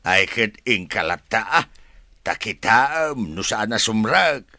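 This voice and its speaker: An actor playing a nagging, teasing husband in a radio drama, exclaiming and scolding. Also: male voice, on the low side, very animated, slow pace, sharp tone, no room sound, studio quality, exaggerated voice.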